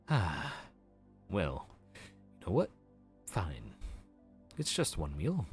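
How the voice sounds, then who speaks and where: A man's voice: low voice, a little mellow, full character voice. A man voicing a friendly, slightly flustered grim reaper supervisor who talks in an awkward, bantering way. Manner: chatty, bantering and a bit awkward. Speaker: voice actor. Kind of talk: audio roleplay acting.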